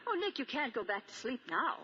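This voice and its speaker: An eager, playful wife in a 1940s radio mystery. Her voice is high and bright, swooping through coaxing questions. It comes from a muffled vintage broadcast. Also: big pitch swings, a little fast, slightly rough, heavily acted. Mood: excited, urging. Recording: muffled, faintly noisy 1940 radio broadcast.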